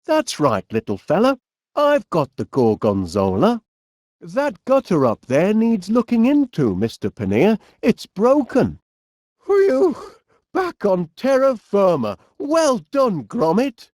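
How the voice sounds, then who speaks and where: A man voicing a genial, polite, eccentric middle-aged inventor with a Northern English accent in an animated comedy game. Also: sits high, no echo, studio quality, heavily acted. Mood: gentle, chatty, then excited, alarmed. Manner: polite, quaint British turns of phrase, drawn-out words. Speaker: video game voice actor.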